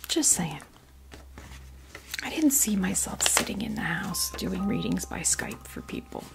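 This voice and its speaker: An American woman speaking in a soft, breathy near-whisper, chatting casually and hesitantly in an ASMR style close to a sensitive microphone. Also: on the low side, huge pitch swings, unhurried. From United States. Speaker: ASMR video host. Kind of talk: ASMR, casual unboxing chat.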